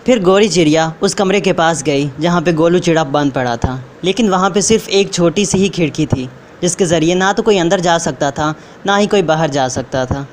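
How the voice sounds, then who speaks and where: A man's voice: fairly high pitch, moderate pace. A man narrating a scripted moral story for children. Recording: faint background noise.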